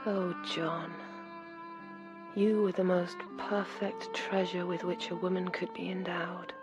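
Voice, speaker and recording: Casting: doting, bereaved young Victorian mother, slow sentimental delivery, clear music underneath, radio drama. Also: on the low side, level tone. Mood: grieving. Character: tender, grieving young widowed mother. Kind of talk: literary radio drama acting.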